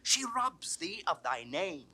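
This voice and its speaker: Harsh British tyrant duke, forceful. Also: male voice, sits high, big pitch swings, takes it slowly, heavily acted. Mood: stern, imperious. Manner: imperious, commanding, crisply theatrical. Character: angry tyrannical duke, stern older uncle. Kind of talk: 1960s Shakespearean audio drama acting.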